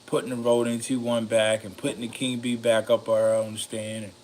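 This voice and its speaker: A raspy-voiced man rambling casually about microphones, slow and meandering, with many ums and a slightly loaded, loose delivery. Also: lowish voice, little pitch movement, darkish tone. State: a bit intoxicated. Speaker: home studio vlogger reviewing microphones. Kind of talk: rambling casual talk to camera.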